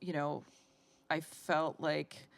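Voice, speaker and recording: A woman's voice: low-pitched, little pitch movement, slow pace, vocal fry, no room sound. A woman pianist and composer chatting as a guest in an interview, laughing often and trailing off with fillers. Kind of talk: casual interview conversation.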